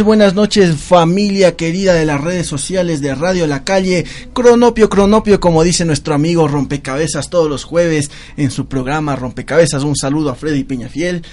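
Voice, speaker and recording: Male radio host, upbeat, effusive greetings, slightly high voice. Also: darkish tone. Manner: enthusiastic, greets listeners at length. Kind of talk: lively radio show hosting.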